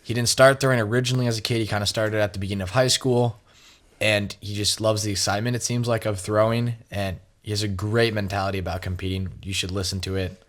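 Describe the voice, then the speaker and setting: A man's voice: on the low side, fairly even intonation, fast talker. A young adult man co-hosting a podcast, talking in a loose, casual way. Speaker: podcast co-host.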